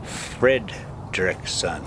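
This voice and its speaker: An older man with a low voice reads a storybook aloud at a slow, deliberate pace. His delivery is dramatic and swings widely in pitch, with emphatic pauses. There is clear background noise behind him. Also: expressive. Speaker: storyteller reading a book aloud. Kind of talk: expressive storybook reading with casual asides.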